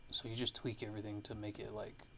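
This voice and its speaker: A man giving a casual, hushed ASMR-style software tutorial, explaining things in a halting, unscripted way. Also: on the low side, monotone, vocal fry, heavy background noise. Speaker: music production tutorial host.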